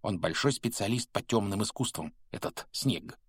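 A man's voice: fairly low pitch, expressive, moderate pace, breathy edge, no room sound. A man voicing an earnest, self-important teenage boy prefect in an audiobook, with a knowing, officious manner. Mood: knowing, gossipy. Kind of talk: audiobook character voice.

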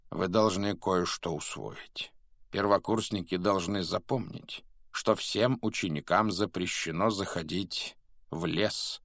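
A man's voice: low-pitched, fairly bright tone, dry recording, studio quality. A man voicing a solemn yet whimsical elderly wizard headmaster in an audiobook, with ceremonious, declamatory delivery. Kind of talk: audiobook character voice.

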